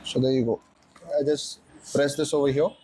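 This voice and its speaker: A man's voice: mid-range voice, fairly even intonation, normal speed, dark tone, slight background noise. A man presenting a casual vlog walkthrough, explaining things step by step. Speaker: motorcycle vlogger and presenter. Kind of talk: casual unboxing and installation walkthrough.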